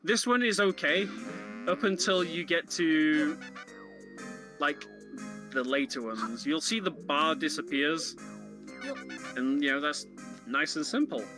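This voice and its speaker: Higher-voiced man commentating casually over game music. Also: expressive, slow pace, pauses often. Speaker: speedrun commentator. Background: game music behind the voice.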